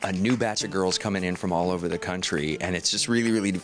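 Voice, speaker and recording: A man's voice: sits low, fast. A man who judges dance auditions explains the process in an enthusiastic, explanatory interview. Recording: music playing under speech.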